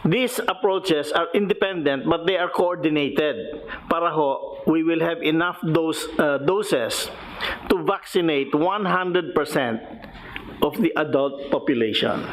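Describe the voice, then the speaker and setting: A man's voice: on the high side, slight background noise, rough recording. A man with a Filipino accent giving an explanatory official report as a government secretary, methodically going point by point. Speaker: finance secretary presenting.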